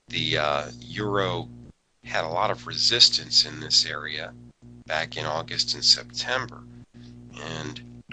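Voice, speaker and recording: Deep, slow man with a bright tone. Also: a little hiss or hum, rough recording. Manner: casual, rambling, many fillers and restarts. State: recovering from a cold, voice a bit lower. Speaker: forex educator hosting a webinar. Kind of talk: live market analysis webinar.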